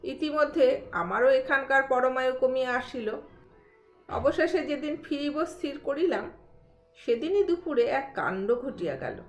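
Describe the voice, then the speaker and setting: A woman narrating a literary audio story in an expressive storytelling style. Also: high-pitched, moderate pace. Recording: roomy echo under soft music. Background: soft background music.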